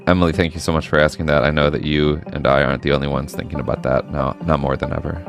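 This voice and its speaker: A man's voice: extremely low pitch, little pitch movement, quick pace, vocal fry, soft background music. A man hosting a podcast, reading an outro to listeners. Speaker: podcast host.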